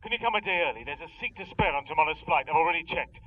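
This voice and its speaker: Thin phone-line sound, high-pitched fast-talking male army pal, jaunty, secretive, pressing, audio drama. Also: lively intonation. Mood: urgent, secretive. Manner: chummy, pressing. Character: jaunty, secretive male old army mate, middle-aged. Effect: telephone voice.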